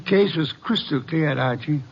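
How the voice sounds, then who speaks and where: A haughty older detective of old-time radio, with a fairly high voice and precise, imperious delivery. He is pompous and dry, and the recording is dull and aged. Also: normal speed, gravelly, a little hiss or hum. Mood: smug, lecturing. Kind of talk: 1940s-50s radio drama acting.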